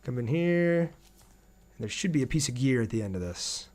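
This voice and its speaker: A man's voice: medium pitch, lively intonation, moderate pace, darkish tone. A man hosting a casual gaming livestream, thinking aloud and chatting with viewers as he plays. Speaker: livestream gamer, host. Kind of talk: casual livestream gameplay commentary.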